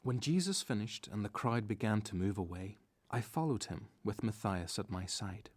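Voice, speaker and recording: A man's voice: on the low side, moderate pace, breathy edge. An actor playing a reflective disciple who narrates his story in first person for a radio play.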